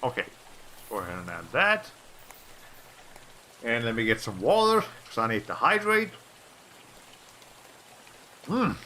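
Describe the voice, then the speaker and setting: Bright-voiced man rambling on a stream. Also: mid-range voice, very animated, measured pace, long pauses, a little hiss or hum, low-quality recording. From Colombia. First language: Spanish. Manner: rambling, filler-heavy, restarts sentences. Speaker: VTuber streamer. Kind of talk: casual livestream chat.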